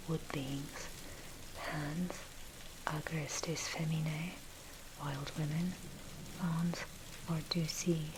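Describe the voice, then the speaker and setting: A woman reading dark history as ASMR in a breathy, unvoiced whisper, with a measured, scholarly delivery. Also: low-pitched. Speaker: narrator. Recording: steady loud rain noise over voice. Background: rain and thunder.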